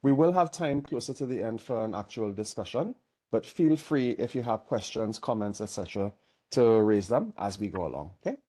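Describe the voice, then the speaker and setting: A man's voice: on the low side, expressive, brisk, warm tone, slightly rough. A man chairing an online meeting, a little nasal from a cold, easygoing and chatty while keeping his diction careful.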